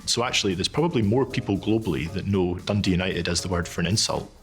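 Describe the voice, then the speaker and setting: A man, an investigative journalist narrating and interviewing in a brisk, earnest way. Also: low voice, faint music behind.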